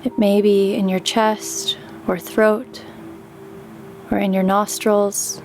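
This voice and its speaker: Slow, even-voiced woman guiding meditation. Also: mid-range voice, a little hiss or hum. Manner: calm, gentle, unhurried phrasing with pauses. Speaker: meditation guide, yoga teacher. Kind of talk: guided meditation, slow soothing instruction. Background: background music.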